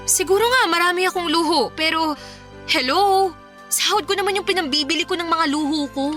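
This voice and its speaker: Bright, high-pitched Filipino young girlfriend. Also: fairly quick, faint music behind. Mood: defensive, sulky. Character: spendthrift, playful young girlfriend, testy when questioned. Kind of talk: Filipino radio drama acting.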